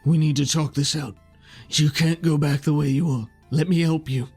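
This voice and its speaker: A man voicing a gruff armed man who pleads earnestly with his brother in a tabletop game. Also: a little high, steady tone, normal speed, darkish tone, voice pushed up. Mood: earnest pleading. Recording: music behind the voice.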